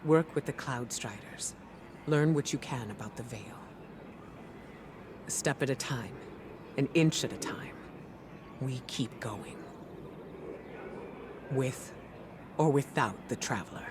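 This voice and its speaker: A man acting a grave, resolute military leader in a game cutscene, speaking in a solemn, deliberate way. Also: mid-range voice, noisy background, lightly acted. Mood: solemn resolve.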